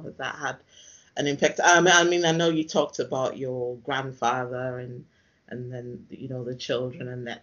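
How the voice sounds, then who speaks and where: A man's voice: medium pitch, creaky, fairly bright tone, muffled recording. A man hosting a casual interview, reflecting and asking questions in tentative, meandering phrases. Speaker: interviewer, host.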